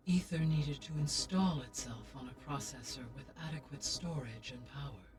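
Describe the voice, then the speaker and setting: A man voicing a calm, formal AI. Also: medium pitch, close to an everyday voice. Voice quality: measured, formal, even delivery. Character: calm artificial intelligence guiding the heroine. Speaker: game character. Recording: slightly distant, under game music.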